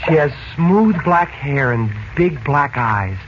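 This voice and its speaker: An earnest, breezy teenage boy in a vintage radio serial. His voice is high for a male and fairly lively. The recording is muffled and noisy. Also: takes it slowly. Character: boyish, earnest teenage son. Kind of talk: 1940s radio drama acting.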